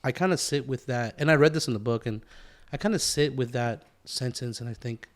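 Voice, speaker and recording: A man with an American accent chatting casually as a podcast co-host, reflective and halting, often repeating himself. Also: medium pitch. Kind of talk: casual chat.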